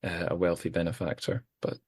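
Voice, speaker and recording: A man's voice: sits low, flat delivery, fairly quick, darkish tone, husky. A man hosting a podcast, chatting casually with guests, rambling and self-deprecating with many restarts. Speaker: podcast host. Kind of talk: casual group chat.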